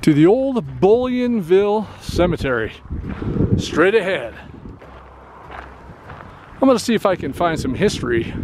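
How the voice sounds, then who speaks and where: Chatty man vlogging outdoors, slightly high. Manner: chatty, slightly winded from hiking. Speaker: travel vlogger. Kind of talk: casual outdoor vlog narration. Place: outdoors in open desert. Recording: noisy outdoor recording.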